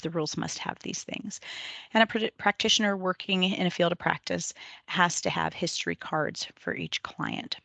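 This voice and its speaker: A woman with a low, gravelly voice, worn thin by a cold. She presents rules to an online meeting in a measured way, with frequent ums. The sound is close and dry. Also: a bit bright, dry recording. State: weak voice, getting over a cold.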